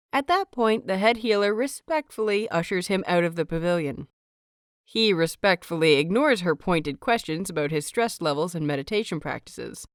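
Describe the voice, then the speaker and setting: A woman reading scripted fiction as an audiobook narrator, with measured, expressive phrasing. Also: mid-range voice, clean tone, dry recording, studio quality.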